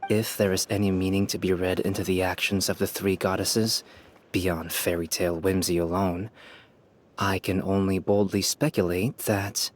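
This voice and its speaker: An actor playing a calm, polite young male researcher in a game, speaking formally and articulately. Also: low voice, natural voice. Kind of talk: game character dialogue.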